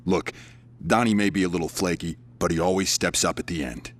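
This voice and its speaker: A man's voice: low-pitched, a little fast, slight background noise, lightly acted. A man playing a hot-tempered gang leader in a video game, blunt and profane. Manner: blunt, profane, short-fused. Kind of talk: video game cutscene acting.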